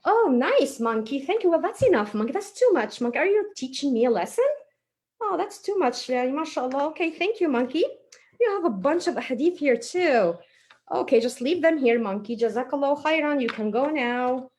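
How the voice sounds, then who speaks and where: A woman's voice: high-pitched, expressive, normal speed, darkish tone, pitched above the performer's own voice. Woman voicing a chatty, eager child puppet. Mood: exasperated, excited. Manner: thinks aloud, exclaims, explains simply. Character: chatty, eager young child puppet, impatient but conscientious. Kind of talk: children's puppet show storytelling.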